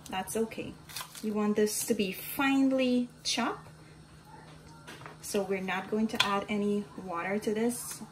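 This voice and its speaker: A woman with a Guyanese accent hosting a home cooking tutorial, giving calm step-by-step instructions and often addressing viewers as "guys". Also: medium pitch. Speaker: cooking channel host. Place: home kitchen. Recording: home recording with audible kitchen noise. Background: dishes, pots and kitchen clatter.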